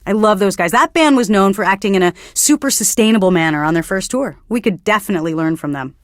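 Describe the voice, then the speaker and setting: A pretentious young indie radio DJ for a video game, acted by a woman. She delivers song patter with earnest, condescending self-importance at a middling pitch. The sound is very dry and close. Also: animated, quick pace, no echo, close to an everyday voice. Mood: earnest, smug. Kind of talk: radio DJ patter between songs.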